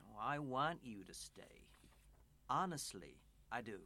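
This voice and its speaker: A man's voice: medium pitch, lively intonation, a little slow, pushed and bright. An actor in a radio play playing a kindly, earnest pastor, a family man who speaks hesitantly and repeats his words. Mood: earnest, pleading.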